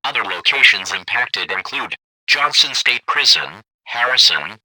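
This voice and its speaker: A man's voice: medium pitch, animated, measured pace, bright, forceful tone, no echo, low-quality recording. A synthetic-sounding man reading scripted emergency weather warnings in a formal, impersonal, clipped way. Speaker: emergency alert announcer.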